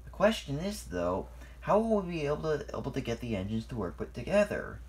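A narrator voicing an eager, excitable young male steam engine in a children's story, earnest and exclaiming. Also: a little fast. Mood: earnest, puzzled. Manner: exclaiming, high-spirited.

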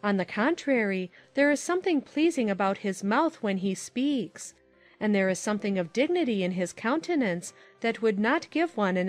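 A woman's voice: medium pitch, close to an everyday voice. A woman as a chatty middle-aged aunt. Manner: lively, talkative, opinionated. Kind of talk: audiobook drama acting.

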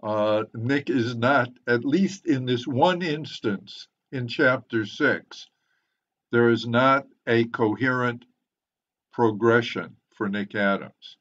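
An older man speaking in a measured, deliberate, professorial way as he moderates an online book discussion. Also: fairly bright tone. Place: indoors, on a video call. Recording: muffled, low-fidelity video call.

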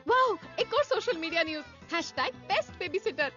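Young cartoon elephant girl, very high voice, dramatic, emotional, expressive. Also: moderate pace, muffled recording, soft background music. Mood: excited. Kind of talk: Hindi cartoon dubbing.

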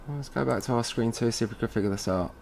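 A man presenting an informal software tutorial, casually thinking aloud as he goes step by step. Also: mid-range voice, very fast, creaky. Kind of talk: informal screen-recorded software walkthrough. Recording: noisy room with keyboard typing.

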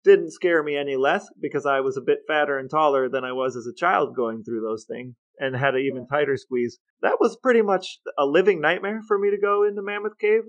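A man's voice: fairly high pitch, fairly quick, hardly any pauses, dull audio. A middle-aged man co-hosting a podcast, chatting casually and asking questions. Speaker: podcast co-host. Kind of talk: casual chat and interview questions.

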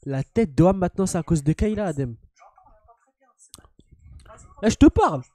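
A young man with a stuffy, cold-blocked voice chats loudly and jokingly while streaming a football game. His voice sits a bit high but sounds soft and mellow. The room gives a dry, close sound. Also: animated, normal speed, dry recording. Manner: loud, joking, slangy banter. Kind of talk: casual gaming stream chat.

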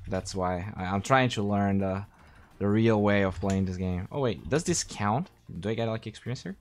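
A young man from Brazil, chatting casually while streaming a game, thanking viewers and thinking out loud. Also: lowish voice, moderate pace. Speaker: streamer and caster. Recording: faint music behind, weak mic.

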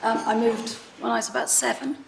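A higher, gravelly female voice from a woman from Wales who grew up speaking Welsh. She talks frankly and bluntly, with laughing asides. Her voice rings with hall echo over a clear hiss. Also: little pitch movement, a little fast. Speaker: panelist, barrister. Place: large formal hall.

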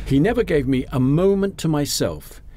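A man's voice: fairly high pitch, expressive, moderate pace, mellow, lightly acted. A man with a British accent playing a fussy, resentful ageing commuter narrating a radio drama in a prim, theatrical way. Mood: bitter reminiscence. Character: fussy, resentful ageing English commuter haunted by school bully. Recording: noisy amateur radio drama recording.

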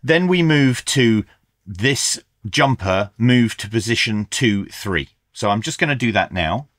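A man's voice: on the low side, expressive, measured pace, fairly bright tone, no room sound, clean studio sound. A middle-aged man giving a methodical, explanatory product review in an instructional style. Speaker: radio reviewer, presenter.

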